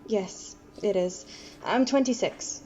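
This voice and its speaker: A woman playing a guarded, quiet homeless woman in her twenties in an amateur radio play, speaking tersely with understated wistfulness. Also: medium pitch, takes it slowly, soft background music, natural voice. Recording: dull, slightly noisy home recording.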